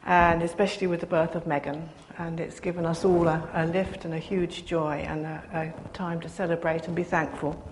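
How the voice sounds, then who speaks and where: An older woman giving a soft-spoken, reflective personal sermon to her congregation, pausing often as she speaks. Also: on the low side, little pitch movement, slight background noise. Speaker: preacher.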